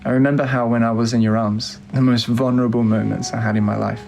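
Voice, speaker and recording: An actor plays a heartbroken young man narrating his unsent love letters for a film. His voice sits at a medium pitch with a lot of gravelly fry. He speaks plainly and wistfully, as if confiding, with faint music underneath. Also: flat delivery, quick pace, darkish tone, close to an everyday voice. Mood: tender, nostalgic.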